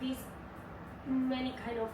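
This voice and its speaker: Woman, from Spain, native Spanish speaker, halting, high pitch, distant mic, noisy. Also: level tone, roomy sound. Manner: halting, simple phrasing. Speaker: podcast co-host. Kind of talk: casual chat.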